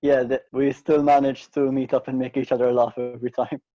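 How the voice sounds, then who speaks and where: A man's voice: medium pitch, little pitch movement, a little fast, dark tone, no room sound, soft background music. A man of twenty-one casually introduces himself over a video call. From Malta. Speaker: student, panel participant. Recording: dull, dry video-call audio.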